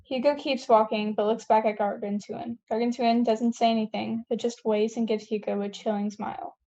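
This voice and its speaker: A woman's voice: on the high side, flat delivery, moderate pace, mellow, highs cut off. A woman reading scripted stage directions at a table read, plainly and with the odd stumble.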